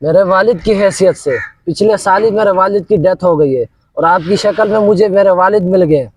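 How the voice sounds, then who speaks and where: A man's voice: high voice, a little mellow, no room sound. An actor playing a kindly, dutiful younger man in an audio drama, earnest and respectful. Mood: sympathetic, earnest. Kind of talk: Urdu moral audio drama acting.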